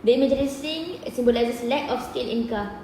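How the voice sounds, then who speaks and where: A woman's voice: high-pitched, fast, mellow. A young adult woman with a Malaysian accent, presenting informally in a group discussion as a student. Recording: echoey hall, distant mic, faint noise.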